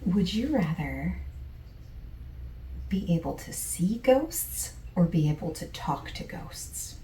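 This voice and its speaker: A woman playing a friendly, easygoing survey taker in a softspoken, partly whispered ASMR roleplay, with gentle, chatty asides. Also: on the low side, very animated, slow pace, roomy sound, recorded from a distance, a little hiss or hum, low-quality recording. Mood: gentle, casual. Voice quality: soft, breathy, partly whispered.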